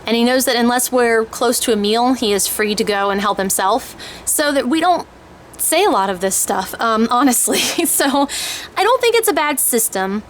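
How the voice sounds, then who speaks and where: A middle-aged woman speaks in a somewhat high voice, walking through a casual overview. Her delivery is informal and a little hesitant, working out her thoughts as she goes. There is noticeable background noise on the recording. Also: expressive, normal speed. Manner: informal, hesitant, thinking aloud. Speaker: homeschooling parent reviewing language kits. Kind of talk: casual product overview, explaining.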